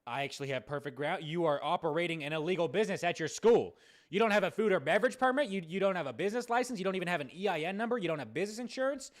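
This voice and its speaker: A man's voice: high voice, expressive, very fast, voice pushed up. An American man playing a stern, bullying adult who officiously threatens a kid with a lawsuit in a prank call. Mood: indignant, threatening. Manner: officious, pressing, repetitive.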